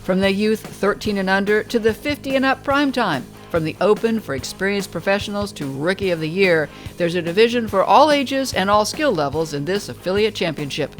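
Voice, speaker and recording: A TV show host reading scripted broadcast narration in a smooth announcer delivery. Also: mid-range voice, lively intonation, a little fast, few pauses, faint music behind.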